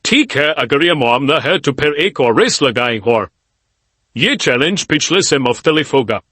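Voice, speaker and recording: A man voicing a proud, angry male hare in an animated children's story, indignant and boastful. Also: medium pitch, a little slow, no room sound. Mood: challenging.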